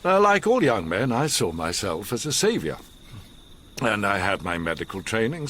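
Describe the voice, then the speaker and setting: Older learned gentleman, radio drama. Low, animated delivery, measured pauses, grave intensity, slight background noise. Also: full character voice.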